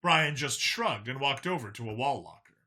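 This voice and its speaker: Male audiobook narrator, scripted storytelling, sharp bright tone, animated intonation. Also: mid-range voice, moderate pace.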